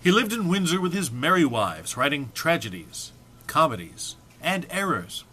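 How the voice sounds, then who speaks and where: A man's voice: on the high side, very animated, moderate pace, bright, forceful tone, slight background noise. A man giving an amateur, theatrical dramatic reading of a humorous text, prone to amusement and stumbles. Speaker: amateur reader.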